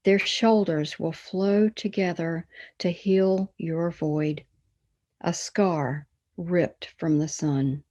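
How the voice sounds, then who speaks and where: A woman giving a solemn, poetic reading of a meditation, measured, with pauses after short phrases. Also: fairly low pitch, steady tone, dark tone, highs cut off. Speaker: reader of a church meditation.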